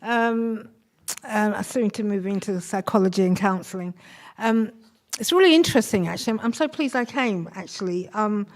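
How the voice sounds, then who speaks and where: A woman's voice: mid-range voice, normal speed. A woman from Nigeria, a nurse, introducing herself at a seminar; shy and hesitant, tired after a night shift. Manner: shy, hesitant, many ums. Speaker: nurse and community association secretary. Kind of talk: speaking in a seminar introduction round.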